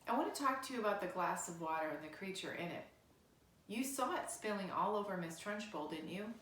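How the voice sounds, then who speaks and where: A woman's voice: mid-range voice, expressive, fairly quick, a bit bright, slight background noise, lightly acted. Woman acting young schoolgirl, earnest, anxious, hesitant, pleading, storybook character, echoey room. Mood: insistent. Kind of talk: children's storybook read aloud. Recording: roomy, echoey indoor sound.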